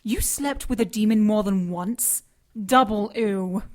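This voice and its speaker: A woman's voice: mid-range voice, a little slow, a little mellow, lightly acted. A woman playing an earnest, spirited sidekick in an audio drama, questioning and easily flustered or indignant.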